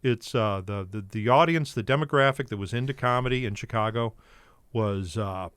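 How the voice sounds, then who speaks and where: Older man, low voice, rambling stories. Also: normal speed, fairly bright tone, clear, resonant tone, no room sound. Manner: rambling storyteller with many fillers and restarts. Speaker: guest comedian. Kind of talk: casual podcast chat.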